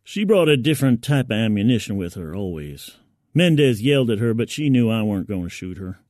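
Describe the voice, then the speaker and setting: A man's voice: lowish voice, sing-song, fairly quick, darkish tone, no room sound. Man narrating gritty fiction, very dry sound. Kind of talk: dramatic first-person fiction narration.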